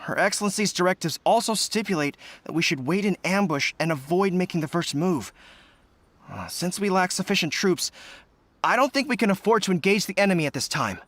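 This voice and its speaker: An actor playing an earnest, dutiful young army general in a video game, speaking in a formal military briefing manner. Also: male voice, high-pitched, animated, a little fast, a bit bright. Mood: hesitant. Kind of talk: English video game voice acting. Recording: music behind the voice.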